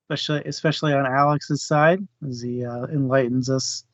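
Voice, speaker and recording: A man's voice: medium pitch, steady tone, a little fast, dry recording. A man co-hosting a book club show, chatting casually about reading. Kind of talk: casual book discussion chat. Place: live online stream. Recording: dull, lo-fi, dry audio.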